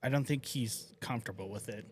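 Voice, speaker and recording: A man's voice: mid-range voice, a little fast, a little hiss or hum. A man co-commentating a live pool match, chatty and opinionated, often restarting his sentences.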